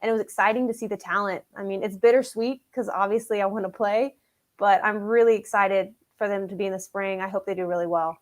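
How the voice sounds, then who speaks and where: Quick-talking young woman athlete on a video call, friendly. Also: medium pitch, fairly even intonation. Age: 20s. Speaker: student athlete.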